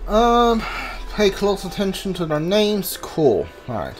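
Halting, casual gamer thinking aloud. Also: mid-range voice, big pitch swings, faint music behind. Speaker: video game player. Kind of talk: casual gameplay commentary.

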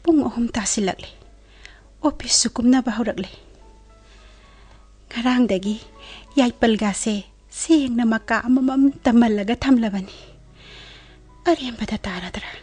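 A anxious Manipuri woman in a radio play mutters her thoughts to herself. Her voice is fairly high and husky, and she runs through her words very fast. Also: warm tone, faint music behind. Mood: anxious musing. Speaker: radio drama actress. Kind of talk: radio drama monologue.

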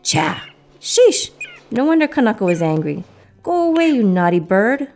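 Woman voicing a stern, scolding storybook bird, lively. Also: mid-range voice, moderate pace, soft background music. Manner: questioning, reproving, emphatic. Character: stern, fair-minded bird friend, scolding the naughty one.

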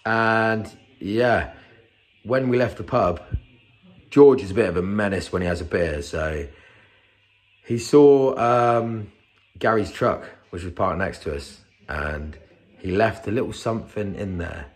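A man in his thirties talking casually about a fishing trip, upbeat and quick to cheer when a fish comes in, recorded on a camera mic. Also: lowish voice. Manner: upbeat, excitable when fish are caught. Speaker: fishing vlogger. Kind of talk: casual vlog narration. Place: outdoors on rocky coast and indoors in a rented room.

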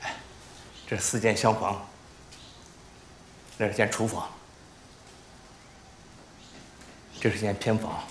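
A man's voice: fairly low pitch, little pitch movement, normal speed, a little hiss or hum, lightly acted. An actor playing a stubborn middle-aged village man selling his house in a film, folksy and emphatic. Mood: proud, easygoing.

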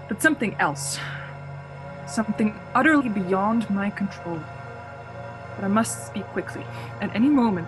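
A woman's voice: medium pitch, natural voice, poor audio. An actress playing a frightened young traveler who insists she is sane, in a suspense radio play, hesitating and repeating words. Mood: anxious, urgent.

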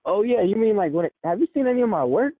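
A man's voice: high voice, expressive, quick pace, no room sound. An American man talking casually and in slang over a phone line, as a videographer discussing a job. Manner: slangy, informal. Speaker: music video videographer on a call. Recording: tinny narrowband phone line.

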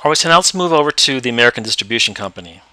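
A man explaining step by step how to use accounting software in a screen-recorded tutorial. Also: medium pitch, lively intonation, racing, dry recording. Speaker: software trainer.

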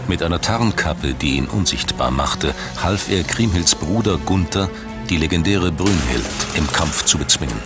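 Male narrator, very low voice, measured and solemn scripted documentary read over prominent music. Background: background music.

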